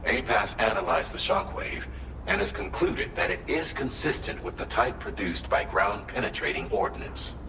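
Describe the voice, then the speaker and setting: A man playing a grave, formal dispatcher, giving a measured and earnest mission briefing in a video game. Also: fairly low pitch, gravelly. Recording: echoey, distant, noisy game audio.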